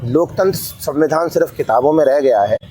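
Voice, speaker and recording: A man's voice: on the high side, fairly quick, background noise. A man giving an earnest political statement as an interviewee, repeating phrases for emphasis.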